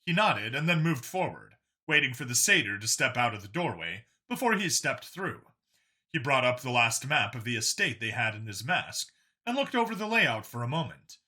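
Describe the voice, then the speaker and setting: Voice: medium pitch, very animated, normal speed, bright, forceful tone, husky. A narrator reading a scripted science fiction story as an audiobook.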